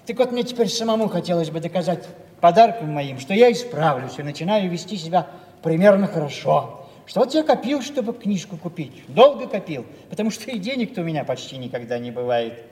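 An actor playing a timid, rambling old father in a theatrical audio play, halting and repeating himself. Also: fairly high pitch, very animated, darkish tone. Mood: pleading, confiding. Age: older. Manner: hesitant, repetitive, flustered and halting. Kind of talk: theatrical stage acting of a Russian classic. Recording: echoey hall, distant mic, faint noise.

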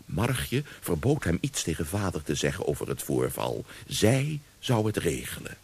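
A man's voice: deep voice, very animated, normal speed, a little mellow, husky. A man narrating a scripted radio drama as storyteller.

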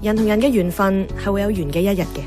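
A woman's voice: mid-range voice, fast, lightly acted. An actress playing an outspoken young woman in a Hong Kong Cantonese radio drama, blunt and teasing, moving between dialogue and inner narration. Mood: reflective. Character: outspoken, headstrong young woman, a radio host chasing her dream. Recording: music under the voice.

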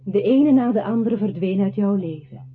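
An actress playing a solemn, quietly accusing narrator in a radio drama, with a husky voice and deliberate literary phrasing. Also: female voice, mid-range voice, natural voice. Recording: muffled phone-like old radio recording.

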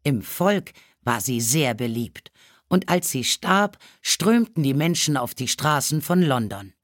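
A woman's voice: extremely low pitch, sing-song, a little slow, no echo. Dry, close-sounding woman storybook narrator. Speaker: audiobook narrator.

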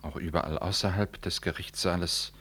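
Very deep-voiced, solemn priest. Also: male voice, fairly even intonation, fairly bright tone. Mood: solemn, guarded. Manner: deliberate, ceremonious. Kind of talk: German 1960s radio drama acting. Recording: 1960s radio recording, faint noise.

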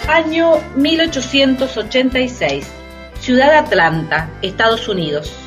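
A woman's voice: high voice, lively intonation, unhurried, music in the background. A woman narrating a scripted radio play in a dramatic storytelling style.

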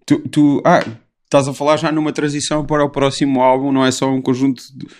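A man's voice: mid-range voice, completely flat delivery, fast talker, a little mellow. A man in his thirties with a European Portuguese accent, interviewing a musician in a chatty, teasing way, with hesitant questions. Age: 30s. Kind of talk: casual interview chat.

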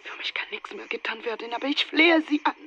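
A woman's voice: on the high side, fast, bright, forceful tone, highs cut off, a little hiss or hum. An actress playing a desperate Nigerian mother in a radio play, pleading urgently and dropping into whispers. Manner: breathy whispers breaking into urgent pleas.

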